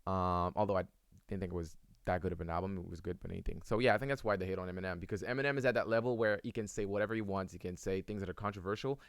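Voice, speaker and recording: A man's voice: low voice, steady tone, rapid-fire, creaky, smooth, no echo, studio quality. A young man whose first language is French, answering viewers' questions in a casual live-stream, rambling with many fillers and restarts.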